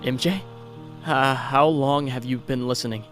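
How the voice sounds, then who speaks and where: A young male hero of a comic dub , played by a man, pours out his grief in a mid-pitched voice. He is earnest, reflective and a little halting, tender throughout, with music under him. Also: steady tone, fairly bright tone, close to an everyday voice. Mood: embarrassed. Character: grieving young male superhero, tender and wistful. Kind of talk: comic dub, dramatic monologue.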